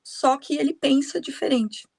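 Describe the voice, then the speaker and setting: A woman's voice: high voice, normal speed, vocal fry, darkish tone, no room sound. A woman, a guest in a conversation, patiently explaining ideas while thinking aloud.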